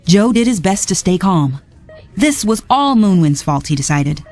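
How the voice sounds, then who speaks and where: A woman narrating a storybook read-along in a lively, expressive way, with soft music behind. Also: mid-range voice, unhurried.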